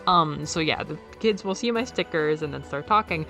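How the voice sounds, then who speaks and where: Young woman, casual YouTube talk; soft, airy, slightly nasal, lowish pitch, excitable and rambly, faint music under the voice. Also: normal speed. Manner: enthusiastic, excitable, jumps up in pitch when quoting; rambling with fillers and repeated words. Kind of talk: casual video essay.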